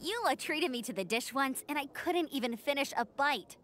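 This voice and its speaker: A woman's voice: high-pitched, animated, normal speed, fairly bright tone, a little hiss or hum. A woman voicing a perky, friendly young adventurer in a video game, breezy and casual. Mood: chatty.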